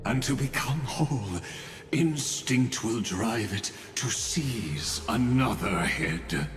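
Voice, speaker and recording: An actor playing a cold, grandiose, condescending mastermind villain with godlike airs in a video game. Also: male voice, mid-range voice, lively intonation. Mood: triumphant, ominous. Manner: grand, deliberate, condescending, explanatory. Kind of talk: dramatic video game voice acting. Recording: cavernous echo with background music.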